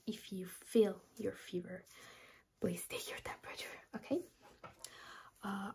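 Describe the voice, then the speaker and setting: Slow, whispering woman in ASMR doctor roleplay. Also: medium pitch. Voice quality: breathy whisper, mostly unvoiced. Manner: gentle, reassuring, unhurried explaining. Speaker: ASMR performer playing a doctor. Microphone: close ASMR microphone.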